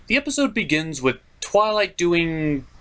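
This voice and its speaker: A man's voice: on the high side, big pitch swings, measured pace, a bit bright, clean tone. A man hosting a comedic cartoon review, narrating casually in a sarcastic, irreverent way. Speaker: host of a cartoon review show.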